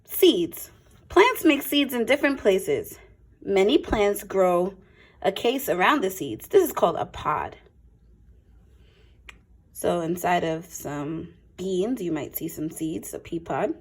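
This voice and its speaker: Female primary teacher for a picture book read-aloud: slow, deliberate, a little husky, explaining simply to little kids. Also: mid-range voice. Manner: simple explanatory asides to young listeners; expressive, deliberate phrasing for children. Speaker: teacher reading aloud to young children.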